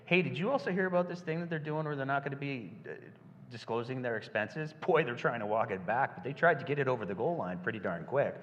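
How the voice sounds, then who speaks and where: A man's voice: mid-range voice, fast. A man hosting a live panel, talking casually and playfully. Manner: casual, chatty, acts out little dialogues. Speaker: host. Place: stage in a hall before a live audience. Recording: dull hall reverb.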